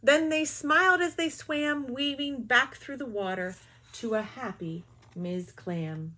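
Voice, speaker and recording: Slow, expressive woman reading aloud. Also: high voice. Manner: expressive storybook reading with quick quiet asides. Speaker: teacher. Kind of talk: children's picture-book read-aloud.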